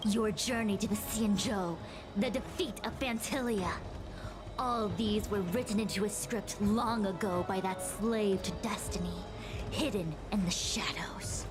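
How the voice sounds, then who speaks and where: An actress playing an imperious seer possessed by a spirit, coldly fatalistic and condescending, in dramatic video game style. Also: female voice, mid-range voice, unhurried, music in the background, close to an everyday voice. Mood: cold disdain. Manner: declamatory, condescending.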